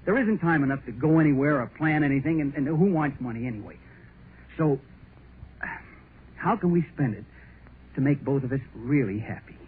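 An actor playing an excited, nervous young American husband in a 1930s radio drama, gushing and rambling in slang on a scratchy recording. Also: male voice, medium pitch, normal speed, long pauses, phone-quality sound, lightly acted.

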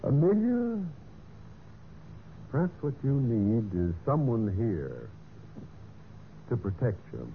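An actor playing a calculating, smooth-talking suitor in a vintage radio mystery, persuasive and earnest. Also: male voice, medium pitch, big pitch swings, slow, long pauses, narrow, tinny audio, exaggerated voice. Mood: earnest persuasion. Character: scheming, smooth-talking male suitor narrating his own crimes. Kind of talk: 1940s-50s radio mystery acting. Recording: noisy band-limited old radio recording.

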